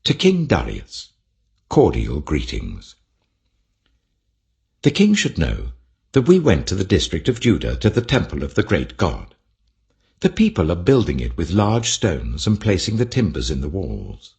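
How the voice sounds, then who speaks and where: A man acting earnest court official, British accent, low voice, very wide intonation, deferential, insistent, audiobook. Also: wildly swooping pitch, a little slow, vocal fry, a little mellow, full character voice. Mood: formal reporting. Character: formal Persian officials earnestly warning their king. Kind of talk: audiobook scripture reading.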